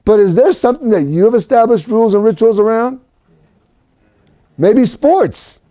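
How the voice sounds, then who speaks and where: High-voiced preacher from Mexico, mellow. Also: male voice, normal speed. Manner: animated, rising to shouts at peaks. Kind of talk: sermon. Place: home recording for a virtual service.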